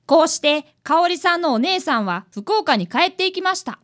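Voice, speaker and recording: A woman voicing a very high-pitched eager schoolboy. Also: sing-song, moderate pace, a bit bright, heavily acted. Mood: cheeky. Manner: earnest, polite boyish speech. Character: eager, polite fifth-grade boy apprentice detective. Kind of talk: radio drama acting.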